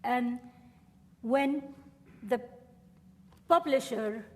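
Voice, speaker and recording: A woman from Syria whose first language is Arabic, giving a measured, earnest university lecture and answering questions. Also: on the high side, in a large hall. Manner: measured, earnest, formal phrasing. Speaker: visiting scholar and lecturer. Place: lecture room.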